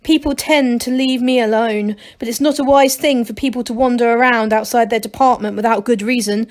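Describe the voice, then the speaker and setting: A woman's voice: on the high side, steady tone, brisk, lightly acted. A woman voicing a clever, self-assured, impatient male gnome from a children's storybook, in an emphatic know-it-all manner. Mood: knowing, cautioning. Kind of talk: children's storybook read aloud.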